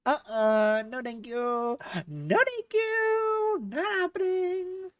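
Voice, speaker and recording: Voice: very high voice, expressive, slow, fairly bright tone, no room sound, clean studio sound, distant mic. A streamer getting over a cold and sounding sniffly, chatting casually with viewers during a live game stream, swearing freely and laughing often. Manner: profane, joking, laughs often, reads chat aloud.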